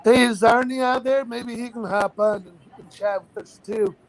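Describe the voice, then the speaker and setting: A man with a slightly raspy voice hosting a casual group chat, talking in a halting way with many ums, heard over a phone line that crackles. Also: very high voice, steady tone. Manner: chatty, halting with frequent ums.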